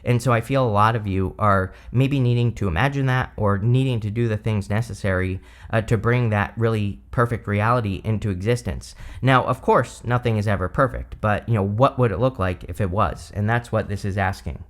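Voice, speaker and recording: A man giving a relaxed, rambling tarot reading with lots of "you know" and "uh", his voice a bit hoarse from allergies. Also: fairly low pitch, a little fast, few pauses. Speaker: tarot reader. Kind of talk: casual tarot reading to camera.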